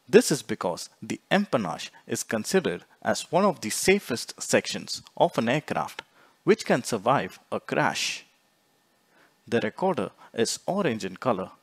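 A man reading scripted instructional narration in a measured, explanatory way, phrase by phrase. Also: mid-range voice, no echo. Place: close, dry voice-over recording.

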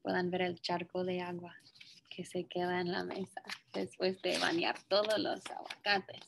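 A young woman reading a picture book aloud to children, expressive and deliberate in her phrasing. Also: medium pitch, vocal fry. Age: young adult. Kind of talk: children's story read-aloud with brief asides. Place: small indoor classroom.

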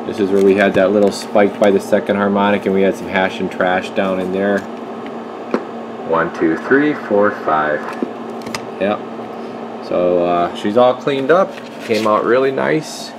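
Deep-voiced, unhurried man with background noise. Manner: informal workbench slang, thinking aloud. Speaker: radio repair technician presenting his work. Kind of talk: casual hands-on demonstration. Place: indoor electronics workshop. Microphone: handheld camera, speaker behind it.

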